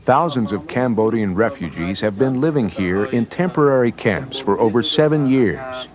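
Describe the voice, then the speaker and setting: A man's voice: mid-range voice, lively intonation, normal speed, crowd noise. A man reading scripted documentary narration as a newsreel narrator. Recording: music bed under narration. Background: background music.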